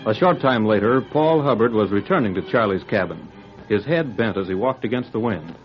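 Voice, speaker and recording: A man's voice: on the low side, animated, fairly quick, over music. A man narrating a 1940s radio adventure as announcer, dramatic and declamatory in a scripted style. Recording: muffled old radio recording.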